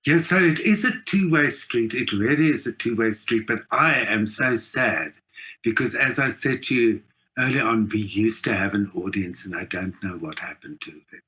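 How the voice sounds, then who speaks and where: A man's voice: on the low side, normal speed, bright, forceful tone, low-quality recording. A man with a South African accent talking as an interview guest, giving his opinions emphatically and repeating phrases for stress. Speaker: interview guest, performing artist. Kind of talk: opinionated conversation.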